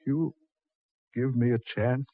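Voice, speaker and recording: A man's voice: medium pitch, steady tone, slow pace, natural voice. A man playing a desperate, pleading dead sinner in 1940s radio horror, agitated and insistent. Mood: awed, eager. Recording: muffled 1940s radio recording.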